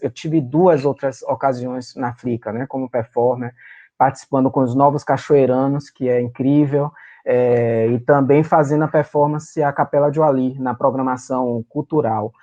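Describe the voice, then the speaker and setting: A man's voice: mid-range voice, warm tone, highs cut off. Man recalling with emotion. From Salvador, Brazil. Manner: emotional, searching for words with many fillers. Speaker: guest performer and writer. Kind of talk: remote interview, reminiscing.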